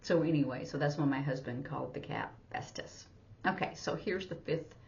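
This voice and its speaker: A woman's voice: deep voice, normal speed, a little mellow. A middle-aged woman teaching through a casual story, talking in a rambling, conversational way. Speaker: sign language teacher. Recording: slightly dull, lo-fi audio.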